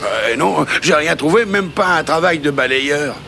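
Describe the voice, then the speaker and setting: A man's voice: fairly high pitch, lively intonation, measured pace, vocal fry, sharp tone, faint music behind, exaggerated voice. A man voicing an easily annoyed, out-of-work circus performer in a French-dubbed cartoon. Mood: dejected. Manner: grumbling, exasperated.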